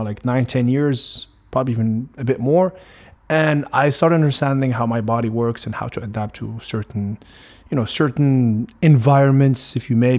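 A man's voice: medium pitch, darkish tone. A young man from Morocco with a Moroccan accent, Arabic his first language, talking through a casual solo podcast in a rambling way, full of restarts and fillers. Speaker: podcast host.

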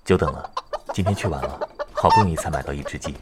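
A man playing a gentle, doting village schoolteacher in an ancient-China romance audio drama, tender and composed. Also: very deep voice, animated, fast talker, heavy background noise. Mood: warm, obliging.

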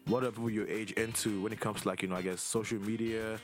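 Male host, twenties, from Nigeria. Rapid, slightly low-voiced, loose and rambling conversational questioning, light music underneath. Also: little pitch movement. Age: 20s. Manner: informal, rambling, circles around his questions. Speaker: host interviewing a younger relative. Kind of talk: casual conversational interview.

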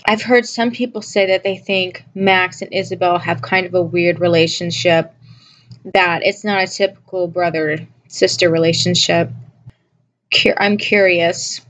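A woman's voice: lowish voice, fairly even intonation, a little slow, fairly bright tone. Scratchy-voiced woman with a cold. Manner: musing, asks listeners questions, many restarts. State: sick, losing her voice. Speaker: podcast host reviewing a TV episode. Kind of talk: casual solo review talk.

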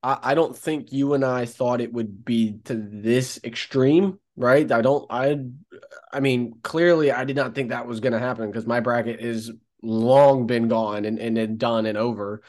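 A man hosting a college sports podcast, talking in an upbeat, energetic way. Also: medium pitch, a little slow. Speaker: podcast host. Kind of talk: casual sports podcast chat. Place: Columbia.

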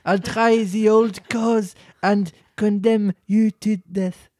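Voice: mid-range voice, steady tone, takes it slowly, a little mellow, no room sound, studio quality, pitched above the performer's own voice, close to an everyday voice. A reader voicing an easily offended mouse in a storybook reading, severe and indignant. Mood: reciting.

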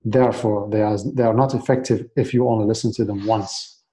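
Earnest Iraqi-born man, dark low voice. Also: steady tone, brisk. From Iraq. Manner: earnest, repeats and restarts words. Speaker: guest. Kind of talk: casual interview.

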